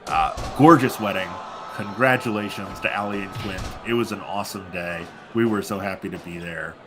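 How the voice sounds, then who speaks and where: A low-voiced male wrestling commentator, stuffy and sleepy, who rambles with fillers and then bursts into hype. Music plays clearly behind him throughout. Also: normal speed. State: congested and sleepy. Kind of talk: live play-by-play commentary.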